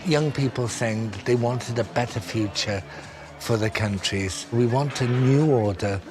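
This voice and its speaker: A man's voice: mid-range voice, a little slow, music in the background. A man commenting in a documentary interview, thinking aloud as he speaks.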